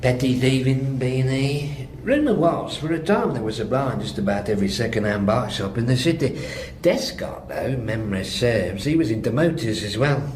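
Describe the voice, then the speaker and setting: Actor as blunt seasoned detective, echoey room. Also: male voice, mid-range voice, animated, moderate pace, a little mellow, close to an everyday voice. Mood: brisk, dry. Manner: blunt, colloquial, clipped. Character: gruff, seasoned male police detective. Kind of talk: naturalistic radio drama acting. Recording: roomy echo, faint noise.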